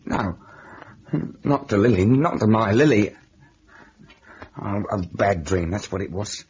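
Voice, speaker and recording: An actor playing an anxious, quick-tempered working man haunted by guilt, halting and agitated, in old radio drama style. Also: lowish voice, animated, a little mellow, breathy edge, exaggerated voice. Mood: panicky. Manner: agitated, halting, muttering to himself. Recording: dull old radio recording, faint noise.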